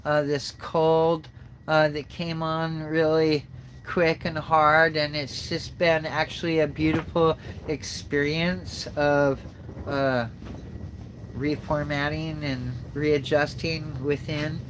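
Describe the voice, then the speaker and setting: A man with a stuffed-up nose from a cold, hosting a spiritual livestream, speaking slowly and haltingly with many fillers, then reading a passage aloud. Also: on the high side, little pitch movement, noisy background. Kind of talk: slow reflective spiritual talk and reading aloud.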